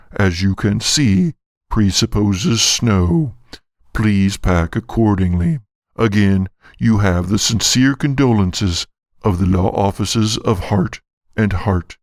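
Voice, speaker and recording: A man's voice: fairly low pitch, dry recording. A man playing a courteous, solemn attorney reading out a formal condolence letter in an audio drama. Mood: solemn formality. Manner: formal, measured. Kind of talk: scripted in-character letter reading.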